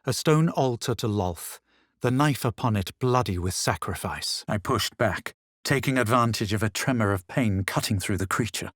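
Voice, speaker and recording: Guy playing a wary sure-of-himself rpg hero, lowish voice, talks measured and deliberate, really dry recording. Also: no room sound, lightly acted. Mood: measured narration. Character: adult male fantasy adventurer, self-assured, wary, measured. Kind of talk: video game voice lines, narration and barks.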